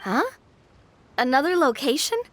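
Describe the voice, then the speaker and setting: A woman's voice: high voice, animated, unhurried, slight background noise. A woman voicing an enthusiastic, generous young lady adventurer in a video game, eager and exclamatory. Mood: surprised.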